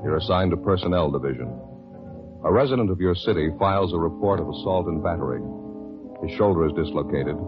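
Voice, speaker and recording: A man announcing in scripted 1950s radio style with a dramatic, emphatic, measured delivery. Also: low voice. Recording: dull old radio recording over music.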